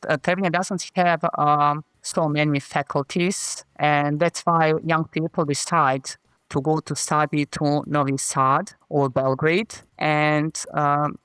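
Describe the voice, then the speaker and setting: A woman speaks slowly in a plain, measured way as an interview guest. Her voice is clear and smooth, and it sounds dry and close. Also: deep voice, steady tone, a bit bright, no echo, low-quality recording. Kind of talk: interview answers.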